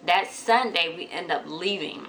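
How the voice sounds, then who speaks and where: Lady vlogger, raspy sick voice, bright tone, faint hiss behind. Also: a little slow, poor audio. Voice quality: deeper than usual. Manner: chatty, addresses viewers as y'all. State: hoarse from a cold. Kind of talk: casual haul vlog chat.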